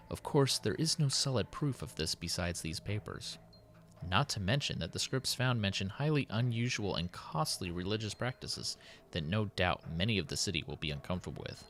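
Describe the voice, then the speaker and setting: Man acting a deep-voiced, solemn city president. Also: fairly bright tone, slight background noise. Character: worried, dutiful city president dictating his diary. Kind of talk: dramatic in-character diary reading.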